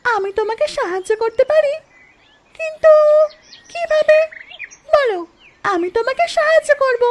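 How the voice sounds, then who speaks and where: A performer voicing a kind, sympathetic mother duck in a cartoon story, gentle and caring. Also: very high voice, expressive, moderate pace, noisy background. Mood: obliging.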